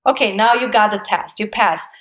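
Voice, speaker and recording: A woman's voice: mid-range voice, normal speed. Brazilian woman telling her story. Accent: Brazilian Portuguese. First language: Portuguese. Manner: animated storyteller, laughs often, acts out quoted voices. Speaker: guest, actress. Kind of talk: casual interview conversation.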